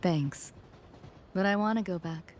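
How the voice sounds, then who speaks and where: A woman's voice: on the low side, a little slow, darkish tone, a little hiss or hum, close to an everyday voice. A woman playing a cool, wry young mercenary in a video game, guarded but caring, with clipped, slangy lines. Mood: wistful, resigned. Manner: laconic, clipped slangy delivery. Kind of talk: video game story dialogue.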